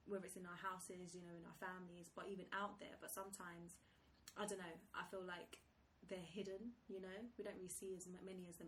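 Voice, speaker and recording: A woman guest chatting enthusiastically in a relaxed interview, with lots of fillers. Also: lowish voice, recorded from a distance, a little hiss or hum, poor audio. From England. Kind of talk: casual chat.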